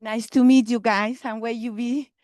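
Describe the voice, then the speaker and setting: Chilean woman pitching in broken English. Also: steady tone, a little mellow, no echo. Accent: Chilean Spanish. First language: Spanish. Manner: halting broken English, chatty, laughs often. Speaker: vendor presenting her business. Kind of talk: informal pitch to a meeting.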